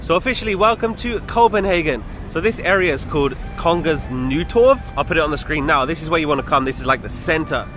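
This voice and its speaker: A man's voice: fairly high pitch, quick pace, hardly any pauses, over music. Chatty man vlogging outdoors. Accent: British, often mistaken for American. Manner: chatty, talks to camera while walking. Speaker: travel vlogger. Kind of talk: casual travel vlog narration. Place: outdoors in a city street.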